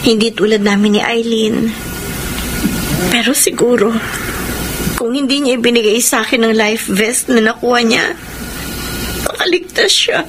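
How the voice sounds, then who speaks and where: A woman's voice: on the high side, a little slow, long pauses, close to an everyday voice. An actress playing a tender, devoted young woman in a Filipino radio drama. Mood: subdued, tender. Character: tearful young woman in love, later forgiving single mother. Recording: loud music bed and crowd noise.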